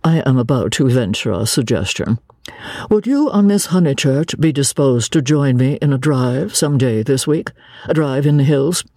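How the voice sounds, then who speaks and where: A reader voicing an officious male clergyman in an audiobook story, formal, measured and condescending. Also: medium pitch, lively intonation, warm tone, dry recording, studio quality. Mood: courteous. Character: bland, officious English clergyman, disapproving and courteous.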